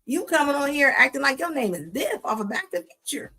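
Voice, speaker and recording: A woman's voice: high voice, sing-song, fast talker, bright, forceful tone. A woman hosting a live show, reacting to clips in a sassy, scolding tone with casual slang, often repeating herself. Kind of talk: live commentary on videos.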